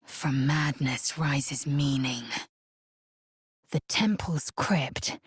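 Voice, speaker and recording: A woman's voice: a bass voice, extremely slow, husky, no echo, studio quality, exaggerated voice. A woman voicing a fierce, haughty alien warrior in a fantasy video game, with an imperious, clipped, solemn delivery. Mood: grave, observant.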